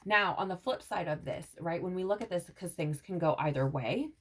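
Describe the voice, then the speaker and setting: Woman, lowish voice, tarot reader, meandering casual talk, slightly scratchy throat, says "right?" a lot. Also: brisk, fairly bright tone. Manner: meandering, repeats "right?" and "like", intuitive channeling tone. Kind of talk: casual spiritual tarot reading to camera.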